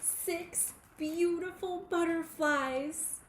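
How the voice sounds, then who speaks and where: A woman reading a children's picture book aloud, in a deliberate, expressive way aimed at young listeners. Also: very high voice. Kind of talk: children's storybook read-aloud. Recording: echoey room with faint background noise.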